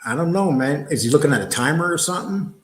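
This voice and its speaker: Middle-aged male co-host, higher-pitched and very animated, sarcastic mocking commentary, in an echoey room. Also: quick pace, a little hiss or hum. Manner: sarcastic, profane. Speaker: show co-host. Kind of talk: casual mocking commentary on a video.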